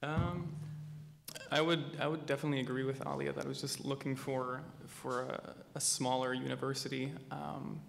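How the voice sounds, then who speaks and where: A young man from Calgary talks hesitantly on a student panel, pausing on many "um"s. His voice is heavily gravelly, and it rings in a reverberant lecture hall over faint noise. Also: mid-range voice, level tone. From Calgary, Alberta. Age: young adult. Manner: hesitant, thoughtful, many ums.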